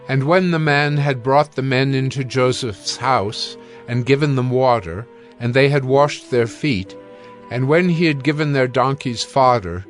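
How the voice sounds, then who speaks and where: A man narrating a dramatized Bible reading in a steady, measured way. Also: mid-range voice.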